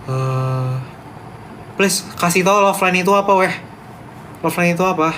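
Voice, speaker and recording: A man's voice: high-pitched, a little slow, a bit bright, slight background noise. A young man with a Medan accent chatting casually on a live stream, reading viewers' comments aloud and ranting back at them emphatically. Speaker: livestreamer reading chat.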